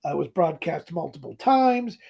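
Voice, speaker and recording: Husky, theatrical podcast host with swooping pitch. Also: very animated, normal speed, highs cut off. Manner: theatrical, playfully mock-dramatic. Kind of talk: casual comedic chat about old radio drama.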